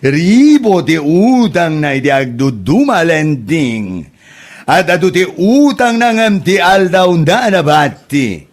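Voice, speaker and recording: A man acts out an indignant farmer from a comedy podcast, gossiping with a sharp tongue. The voice is fairly high for a man and takes its time, scolding and exclaiming in an Ilocano accent. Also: very animated, slow pace, heavily acted. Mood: scornful gossiping. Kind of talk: comedy drama podcast acting.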